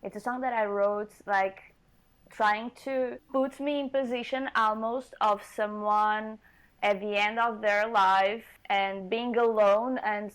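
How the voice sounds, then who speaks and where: A woman's voice: mid-range voice, steady tone, takes it slowly, fairly bright tone, smooth, poor audio. A woman from Portugal, a singer talking earnestly as a guest in an interview, with slightly phrasing. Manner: earnest, laughs often, slightly non-native phrasing. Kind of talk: casual interview chat.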